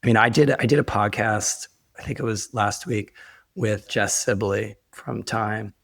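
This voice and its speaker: A hoarse man who is losing his voice, talking casually and wryly as a podcast host in a rambling, informal way. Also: lowish voice, unhurried, fairly bright tone, dry recording. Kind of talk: casual conversational podcast talk.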